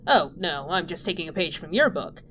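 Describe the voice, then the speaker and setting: A woman's voice: fairly low pitch, lively intonation, a little fast, bright, forceful tone. A woman playing a bold, practical accomplice who makes dry quips in a sci-fi audio drama. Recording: faint noise with vehicles and sirens.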